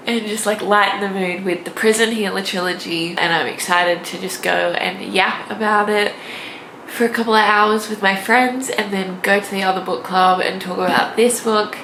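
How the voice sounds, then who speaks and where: A woman's voice: medium pitch, a bit bright, low-quality recording. A young woman talking casually to camera in a gushing, informal, rambling way, like a vlogger. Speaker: book vlogger. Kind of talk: casual chatty vlog to camera. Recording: noticeable background noise.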